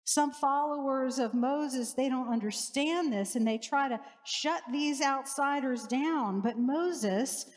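Woman pastor, forties, measured sermon with repeated phrases, headset mic, reverberant church hall. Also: high-pitched. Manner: slow, measured preaching with rhythmic repetition. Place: church sanctuary with large lake-view windows.

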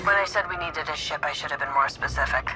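A woman's voice: low voice, fast talker, close to an everyday voice. An actress playing a confident female starfighter pilot turned rebel in a video game. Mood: playful, determined. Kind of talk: video game dramatic acting. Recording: loud game music behind voice.

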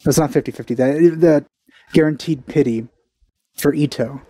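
A man's voice: a little high, moderate pace, dark tone, no room sound. A young man giving casual reaction commentary as a video host, hesitant and meandering, into a headset mic.

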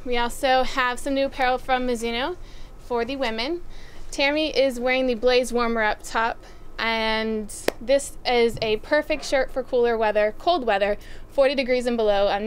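A young woman talks through new running gear outdoors, her voice sitting a bit high. She sounds upbeat and casual and fills her pauses with "um". A faint hum of outdoor noise stays under her voice. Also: steady tone. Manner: upbeat, with frequent "um" fillers. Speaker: store product presenter. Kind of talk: casual product rundown. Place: outdoors by farm fields.

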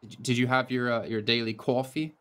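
A man's voice: mid-range voice, flat delivery, fairly quick, fairly bright tone, clear, resonant tone. Dry-humored man casting esports. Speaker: esports caster. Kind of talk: banter and play-by-play commentary.

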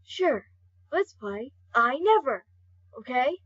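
A woman voicing a friendly, fatherly skeleton gentleman in an amateur comic dub, easygoing and playful. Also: sits high, sing-song, slow pace, husky, lo-fi sound, heavily acted. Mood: playful challenge.

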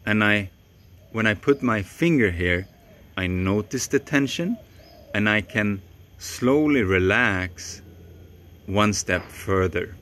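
A young man whose first language is Swedish talks straight into a camera held at arm's length, teaching outdoors in a tropical garden. His pace is very slow and unhurried, with long, deliberate pauses. His voice sits a little low and stays calm and even. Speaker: voice coach.

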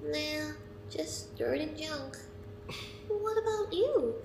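A plucky little pony girl, voiced by a woman, very high and lively, curious and frank in a cartoon drama. The playback is echoey and noisy. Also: takes it slowly, creaky, full character voice. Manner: childlike, frank. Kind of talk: cartoon audio drama acting.

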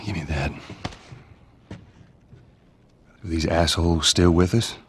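Low gruff smuggler. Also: male voice, slow, slight background noise. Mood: sardonic, stern. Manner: gruff, terse, sardonic. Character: gruff, weary middle-aged male smuggler, brooding. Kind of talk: naturalistic video game dialogue.

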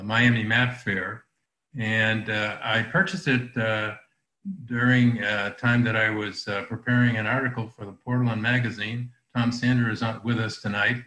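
A man's voice: lowish voice, pushed and bright. A man giving an online talk about a map, speaking hesitantly with many fillers. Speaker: presenter. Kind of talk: online lecture. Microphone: video call. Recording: roomy echo on call audio.

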